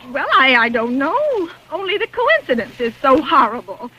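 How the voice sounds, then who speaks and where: A woman's voice: high-pitched, big pitch swings, normal speed, pushed and bright, a little hiss or hum, full character voice. A woman in 1940s radio acting, playing a nervous, demanding bedridden invalid who stammers when flustered, on a lo-fi vintage radio recording. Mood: anxious. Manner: stammering, frantic, petulant.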